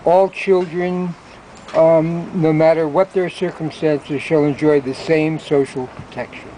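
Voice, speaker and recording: A man with a fairly high voice reads formal text in public. He goes slowly, phrase by phrase, outdoors with faint traffic behind him. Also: fairly even intonation, poor audio. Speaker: public reader at a rally. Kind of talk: reading a declaration aloud. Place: outdoor town plaza.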